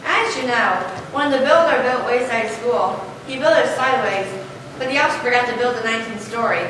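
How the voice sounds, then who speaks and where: Young female story narrator, school stage reading, raised pitch, noisy distant sound. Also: fairly quick. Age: young adult or younger, school pupil. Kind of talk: scripted story narration, readers' theater. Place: large echoey room. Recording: echoey noisy hall, distant mic.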